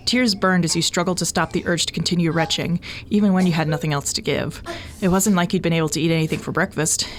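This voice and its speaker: Low-voiced woman reading a story. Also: slight background noise. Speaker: podfic narrator. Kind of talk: expressive scripted story narration. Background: faint music.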